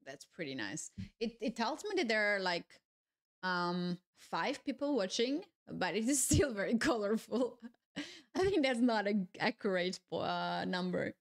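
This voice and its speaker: A woman's voice: mid-range voice, expressive, unhurried. A young woman with a Georgian accent, chatting casually to her stream viewers while playing chess, musing aloud with many fillers and restarts. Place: small home streaming room, indoors.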